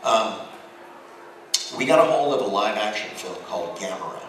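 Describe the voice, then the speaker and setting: A man's voice: fairly low pitch, moderate pace. An American man speaking as a voice actor on a convention panel, telling anecdotes informally and acting out bits of dialogue. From United States. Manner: animated storyteller, acts out dialogue. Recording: very echoey hall, distant mic, noisy.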